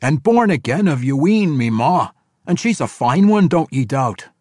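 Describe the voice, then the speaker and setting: A man voicing a proud, gruff young dwarf warrior king in a fantasy audiobook, declaring himself forcefully in rustic dialect. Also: a little high, very animated, measured pace, no room sound, studio quality, voice pushed up. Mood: defiant.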